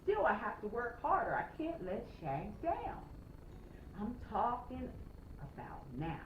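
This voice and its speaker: A woman reading a children's novel aloud expressively as a storytime reader, with dramatic, emphatic pauses. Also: a little high, wildly swooping pitch. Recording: roomy, slightly noisy, dull home recording.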